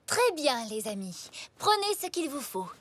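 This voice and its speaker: A woman's voice: high-pitched, very animated, measured pace, fairly bright tone, heavily acted. A woman voicing an upbeat, friendly zebra mother in a French children's cartoon.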